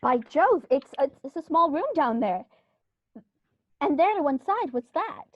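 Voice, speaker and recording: Woman as an excitable, flustered English gentleman. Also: high-pitched, measured pace, no echo, muffled recording. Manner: excitable, fussy exclamations. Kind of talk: amateur radio play acting.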